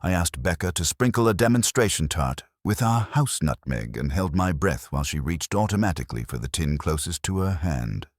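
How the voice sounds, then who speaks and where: A man narrating a scripted first-person detective story in a dry, wry, measured way. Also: low-pitched, big pitch swings, no echo. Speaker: audiobook narrator.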